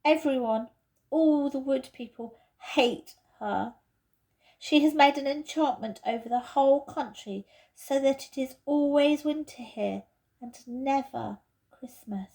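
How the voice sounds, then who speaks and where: A woman's voice: sits high, measured pace, mellow, pitched above the performer's own voice. A woman voicing an earnest young girl in a storybook, emphatic and pleading. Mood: indignant.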